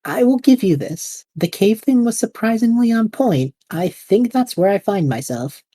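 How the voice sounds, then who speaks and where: A woman's voice: medium pitch, lively intonation, normal speed, dark tone, no room sound, lightly acted. Woman voicing a whiny, bored young traveler. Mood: bantering. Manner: whiny, dramatic, rambling. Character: bored restless young male time traveler. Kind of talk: audio drama acting.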